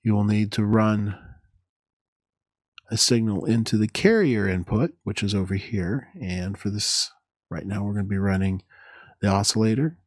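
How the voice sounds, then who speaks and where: A man presenting an instructional software demo in a halting, methodical, step-by-step way. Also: lowish voice, no echo, studio quality.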